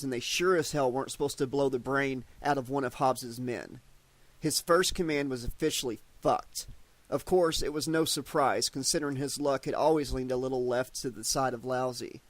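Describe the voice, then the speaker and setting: A man narrating an audiobook with a steady storytelling delivery. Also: fairly high pitch, dry recording. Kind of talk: scripted narration.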